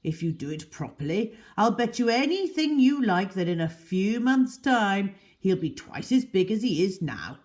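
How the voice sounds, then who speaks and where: A woman voicing an earnest, besotted older gentleman in a children's storybook, coaxing and emphatic. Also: mid-range voice, very animated, moderate pace, few pauses, natural voice. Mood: coaxing, confident.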